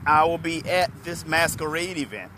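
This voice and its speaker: A middle-aged man from Washington, D.C., a motivational speaker, talking hoarsely and chattily to viewers on a phone held at arm's length outdoors. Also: a little high, normal speed, slight background noise. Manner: relaxed, chatty, addresses viewers by name. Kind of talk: live-streamed talk to viewers. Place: outdoors in a parking lot under trees.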